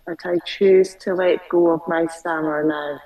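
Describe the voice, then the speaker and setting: Woman w a stutter, lowish voice, repeats lines slow, sounds far off on a call. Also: steady tone, dark tone. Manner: repeats phrases slowly after the coach. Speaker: client.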